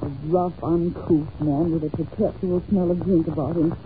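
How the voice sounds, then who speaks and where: A woman's voice: lowish voice, level tone, moderate pace, close to an everyday voice. A woman playing an anxious, polite young governess in an old-time radio drama, speaking earnestly and hesitantly on a muffled, hissy recording. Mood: earnest unease. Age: young adult. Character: anxious, well-mannered young governess confiding in a detective.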